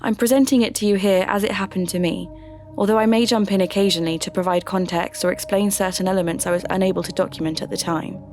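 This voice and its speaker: An actress playing a curious radio DJ hosting a podcast, narrating in a measured, conversational way. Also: female voice, medium pitch, steady tone, darkish tone, soft background music, lightly acted. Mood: measured, intrigued. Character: spooky-curious female radio DJ turned podcast host. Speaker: host.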